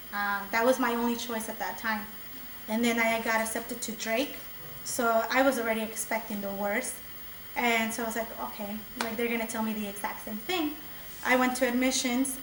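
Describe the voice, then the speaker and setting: A woman's voice: fairly high pitch, a bit bright, slight background noise. A young woman from El Salvador, a student, telling her own story to a meeting in an earnest, conversational way with many ums and restarts. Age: young adult. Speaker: student speaker at a community meeting. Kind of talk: personal testimony to an audience. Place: campus meeting room. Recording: echoey room recorded from a distance.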